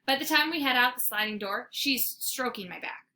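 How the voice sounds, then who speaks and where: Female children's read-aloud narrator: teacherly, expressive, highish and sharply bright. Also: normal speed. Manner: animated, theatrical.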